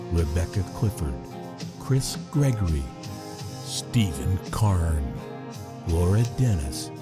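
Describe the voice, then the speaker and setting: Male announcer, low pitch, lively intonation, scripted credits read, loud music underneath. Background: loud plucked guitar music.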